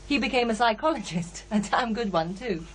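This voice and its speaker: An actress playing a candid, sceptical psychiatrist in a radio drama, with a hesitant, thoughtful way of talking. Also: female voice, fairly high pitch, animated, normal speed, a little hiss or hum, close to an everyday voice. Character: brisk, candid female psychiatrist, sceptical yet unsettled. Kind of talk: naturalistic radio drama dialogue.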